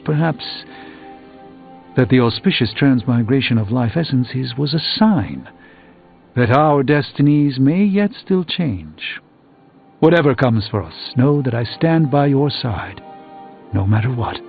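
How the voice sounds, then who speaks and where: An actor playing a learned, reassuring sorcerer in a video game, speaking in a formal, measured, philosophical way. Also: male voice, mid-range voice, big pitch swings, vocal fry. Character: grave, learned, reassuring adult male sorcerer. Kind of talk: scripted video game character dialogue. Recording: tinny band-limited audio with music.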